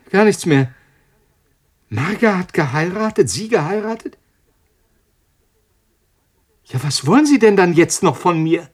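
A cornered molecular biologist in a radio play. His voice is fairly high for a man and rough-edged, halting and agitated as he repeats himself under pressure. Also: exaggerated voice. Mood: bewildered, indignant. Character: cornered, panicking male molecular biologist pleading with his tormentor. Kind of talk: radio drama acting.

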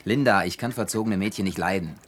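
A man's voice: sits low, fast, fairly bright tone, slight background noise. An actor dubbing a stern, practical young man who talks in a blunt, disapproving way. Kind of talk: German film dub.

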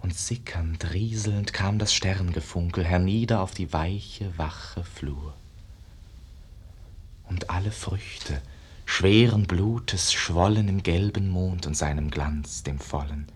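An actor playing a dreamy, rapturous young painter's disciple, declaiming verse in a hushed, lyrical way in a 1950s radio drama. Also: male voice, low voice, takes it slowly, breathy edge, heavily acted. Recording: muffled-edged 1950s radio play recording.

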